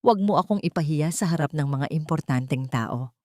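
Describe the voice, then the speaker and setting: A woman voicing a proud, cold husband in a storybook drama, speaking formally and deliberately. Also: deep voice, lively intonation, a little mellow, no room sound, studio quality. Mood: cold warning.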